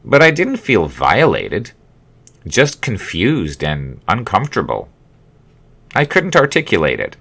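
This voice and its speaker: A man narrating his own memoir as an audiobook, with expressive, wry storytelling. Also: on the low side, unhurried, gravelly, breathy edge. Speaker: author reading own memoir.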